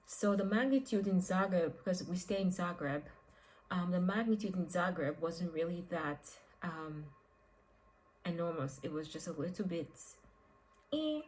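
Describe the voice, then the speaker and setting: A woman's voice: lowish voice, a little slow, rough recording. A young woman from Nigeria talking casually and expressively to camera for her vlog, with lots of "like" and "um" fillers. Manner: chatty and expressive, with frequent "like" and "um" fillers. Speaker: vlogger.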